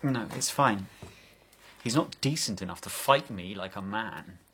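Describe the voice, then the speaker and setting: An actor playing a bitter, jealous, fragile young man with a husky voice in a stage drama. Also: fairly low pitch, lively intonation, measured pace, fairly bright tone, heavily acted. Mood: intimate, bitter. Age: young adult.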